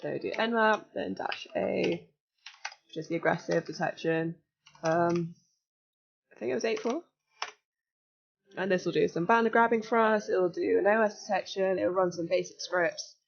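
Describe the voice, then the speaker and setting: Croaky-voiced young woman, chatty streamer. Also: fairly low pitch, moderate pace, long pauses, muffled recording, a little hiss or hum. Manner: chatty, relaxed, giggly. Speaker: streamer teaching hacking. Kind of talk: casual live-stream chat and tutorial. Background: faint music.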